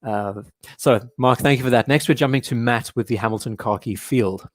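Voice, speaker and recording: A young man hosting a live watch show from home in casual commentary, his voice hoarse and strained, often clearing his throat. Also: mid-range voice, normal speed, no echo, studio quality.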